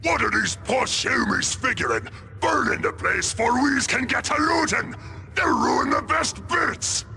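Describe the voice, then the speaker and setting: An actor playing a brash, scheming ork captain in a video game, growling crude slang in a Cockney English accent. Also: male voice, extremely high pitch, sing-song, unhurried, sharp tone, full character voice. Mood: indignant, greedy. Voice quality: gravelly, growling. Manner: bellowing, crude ungrammatical slang. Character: brash, scheming male ork pirate captain hungry for loot. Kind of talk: video game cutscene acting.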